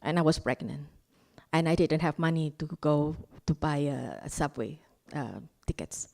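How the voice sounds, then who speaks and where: A woman's voice: low-pitched, normal speed, vocal fry, a little mellow. A woman in her forties from Indonesia, with an Indonesian accent tinged with Australian, telling self-mocking stand-up stories and laughing at her own jokes. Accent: Indonesian with Australian traces. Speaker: stand-up comedian. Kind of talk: live stand-up storytelling.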